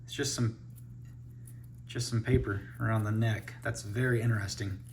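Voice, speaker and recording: A man's voice: on the low side, steady tone, moderate pace, background noise. A man giving a casual unboxing review, thinking aloud as he handles the toys. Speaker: toy reviewer.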